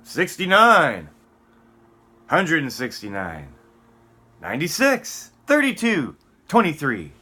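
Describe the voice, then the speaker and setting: A man's voice: on the high side, wildly swooping pitch, slow pace, pauses often, sharp tone, slightly rough. A man on a rambling solo vlog rant, profane and combative, swinging between muttering and outbursts. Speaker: vlogger.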